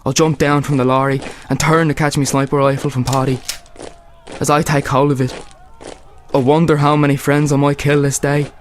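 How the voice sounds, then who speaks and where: Male actor doing young irish ww1 soldier, steely but anxious, radio drama, highish voice, bit of noise behind. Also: little pitch movement, moderate pace, close to an everyday voice. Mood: tense, apprehensive. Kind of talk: radio play acting.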